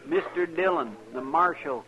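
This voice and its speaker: A male actor plays a good-natured frontier sidekick who grumbles in a rustic American Western drawl. His voice sits on the high side, plain-spoken and homely. It comes from an old radio western, with clear crackle and noise over it. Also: normal speed, lo-fi sound, lightly acted. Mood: eager, folksy. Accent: American rural Western drawl. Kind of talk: 1950s radio western acting. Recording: noisy, crackly 1950s radio recording.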